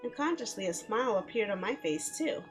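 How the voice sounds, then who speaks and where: Female game streamer, playful, medium-pitched, casual read-aloud and chat over faint music. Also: expressive, a little fast. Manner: giggly, playful, chattering to herself.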